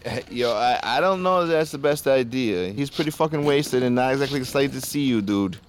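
A young male drug dealer played by an actor in an audio drama, talking in loose stoner slang full of bros and mans. His mid-pitched voice swings around a lot, chummy and evasive, over faint background noise. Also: expressive, brisk, lightly acted. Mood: nervous, panicky. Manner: slangy stoner talk, peppered with bro and man. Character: laid-back, evasive party drug dealer, young man. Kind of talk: naturalistic audio drama acting.

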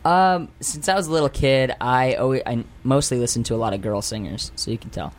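A young male singer rambles through his answers on a radio show, leaning on fillers and restarts and poking fun at himself. His speaking voice sits at an ordinary middle pitch for a man. He is picked up in a studio. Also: brisk. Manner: rambling and self-deprecating, many fillers and restarts. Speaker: guest singer. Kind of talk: casual radio interview.

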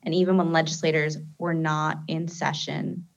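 A woman reporter with a very low voice reports on a radio panel in a steady, informative way. She comes through a dull, muffled remote line. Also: moderate pace, creaky.

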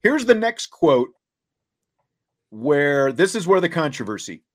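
A man hosting a sports talk show, reading and commenting in a deliberate way, laying out points step by step. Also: a little high, big pitch swings.

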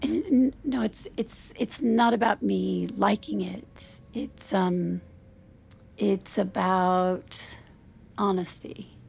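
A woman in middle age playing a restrained, uneasy wife who is gently exasperated, acted naturalistically for audio drama with a hesitant manner. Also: medium pitch, steady tone, slow pace, creaky, slightly rough, exaggerated voice. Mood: hesitant, probing.

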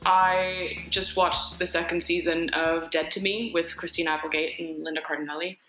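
A bright, forceful-voiced woman from Finland talks loosely as an interview guest, circling back and restarting her thoughts as she goes. Her voice rings out in a noticeably roomy, echoey space. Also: lowish voice, flat delivery, moderate pace, soft background music. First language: Finnish. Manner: rambling, many restarts and fillers. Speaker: actor guest. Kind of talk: casual interview chat.

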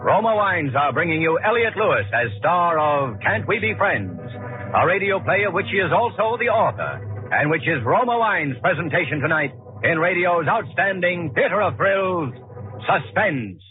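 A man's voice: high-pitched, big pitch swings, normal speed. A male radio announcer reading scripted copy with polished, emphatic 1940s salesmanship. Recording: roughened, muffled 1940s radio broadcast, faint music.

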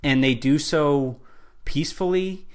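A man's voice: a little high, a little slow, smooth. Earnest man co-hosting a sports podcast. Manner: earnest, builds his argument step by step with restarts. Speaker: podcast co-host. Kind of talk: casual sports talk.

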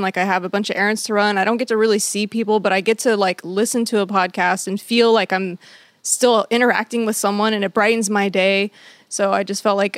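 A woman co-hosts a podcast, musing in a rambling, hesitant way full of fillers and pauses. Her voice is mid-pitched and heard dry and close on a headset mic. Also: no room sound. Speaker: podcast co-host. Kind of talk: casual podcast chat.

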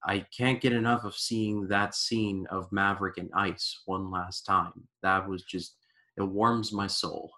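A man's voice: low voice, flat delivery, measured pace, bright, forceful tone. A man guesting on a casual podcast, enthusiastic and repetitive, full of "like" fillers. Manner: enthusiastic, repeats himself, many "like" fillers.

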